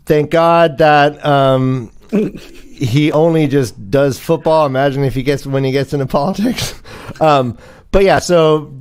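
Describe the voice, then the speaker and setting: A man's voice: a little high, measured pace, darkish tone, clean tone. A man hosting a casual sports talk show, with playful, theatrical emphasis in his delivery. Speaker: host.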